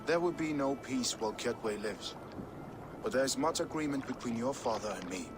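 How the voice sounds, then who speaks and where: An actor playing a courteous, diplomatic Viking king in a video game, speaking in a measured, formal way. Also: mid-range voice, animated, music in the background, lightly acted. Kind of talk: video game cutscene acting.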